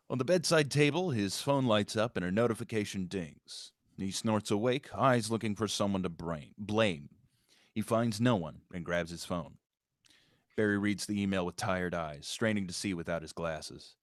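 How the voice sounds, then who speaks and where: A man with a lowish voice narrates a screenplay. He reads the stage directions as scripted prose, recorded very dry with no room sound. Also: dry recording.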